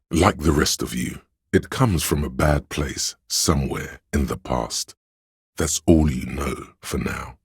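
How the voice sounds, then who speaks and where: A man voicing an inner encyclopedia for a video game, a grave, detached, erudite narrator who states facts in a measured, deliberate way. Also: extremely low pitch, breathy edge, dry recording, clean studio sound, exaggerated voice. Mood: grave, expository.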